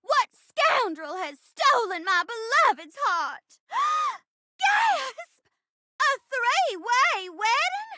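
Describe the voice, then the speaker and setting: A woman's voice: very high voice, big pitch swings, drawn-out words, noisy background, heavily acted. A woman voicing a sweet, lovestruck young bride in a video game, girlish and theatrical, with grand stage declamation. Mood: joyful. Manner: grand stage declamation, breaking into bashful chatty asides. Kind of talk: exaggerated romantic stage-play acting in a video game.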